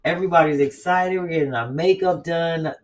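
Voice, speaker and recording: A woman hosting a casual chat, talking in an animated, blunt, profane way with quick asides. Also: deep voice, distant mic. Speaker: host.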